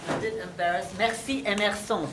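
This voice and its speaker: A woman's voice: medium pitch, steady tone, normal speed, over a noisy crowd, heavy background noise. An older woman with a Hungarian accent, a native Hungarian speaker, giving loose, self-deprecating opening remarks as a panel chair, restarting sentences as she goes.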